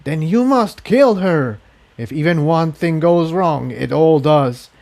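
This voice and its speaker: A man's voice: on the high side, expressive, slow, gravelly, a little mellow, pitched above the performer's own voice, heavily acted. A man voicing a ruthless alien presence in a storybook reading, commanding and emphatic. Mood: fierce, commanding. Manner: imperious, emphatic. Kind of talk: storybook villain voice.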